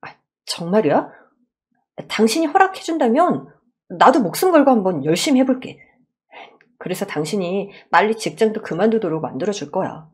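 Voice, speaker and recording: A meek young son-in-law in a radio story, voiced by a woman. He is earnest, courteous and a little hesitant, with a husky, expressive delivery. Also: mid-range voice, normal speed. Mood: eager. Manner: polite, hesitant, pleading.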